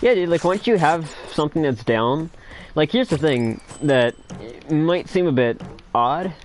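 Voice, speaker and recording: A man's voice: a little slow, a little hiss or hum. Chatty young man with a thin voice. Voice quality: thin voice with little bass. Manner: excitable, chatty, laughs often. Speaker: livestream host. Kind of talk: casual chat while playing a game.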